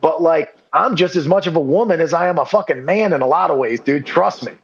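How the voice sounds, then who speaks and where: A man's voice: a little high, animated, a little fast, creaky, dull audio. A man in casual podcast chat, rambling and self-deprecating, swearing freely and saying "dude" and "like" constantly. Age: under 40. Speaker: podcast guest.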